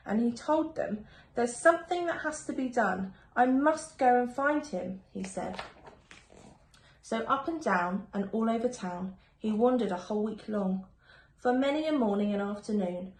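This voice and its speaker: A woman reading a rhyming children's picture book aloud in an expressive, sing-song storytelling manner, like a primary school teacher. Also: medium pitch. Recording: echoey room with faint background noise.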